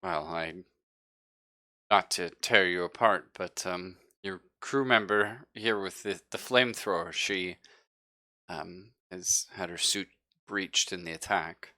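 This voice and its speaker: Man acting a worried ship's medic, deep, close dry sound, apologetic, hesitant, tabletop roleplay. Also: pushed and bright, no echo, heavily acted. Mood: uneasy, explanatory. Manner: hesitant, halting, careful. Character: anxious, apologetic male ship's doctor researching an infection.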